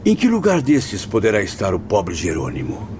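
A man's voice: fairly low pitch, huge pitch swings, moderate pace, noisy background. An actor with a Brazilian accent playing a reflective male spirit narrating his memoirs in a solemn, literary way for a radio drama. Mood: concerned.

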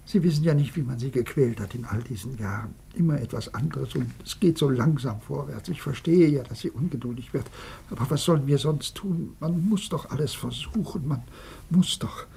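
An actor playing a careworn, tender old father in a radio drama, halting, pleading and apologetic, with a husky, creaky voice. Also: medium pitch, warm tone, slight background noise, lightly acted. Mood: anxious, pleading. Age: older.

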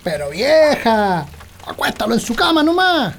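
An actor playing a grumpy, stubborn, sleepy old country husband in a comic radio drama, grumbling with a Chilean accent. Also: male voice, extremely high pitch, sing-song, measured pace, gravelly, fairly bright tone, slight background noise, heavily acted. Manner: grumbling, colloquial.

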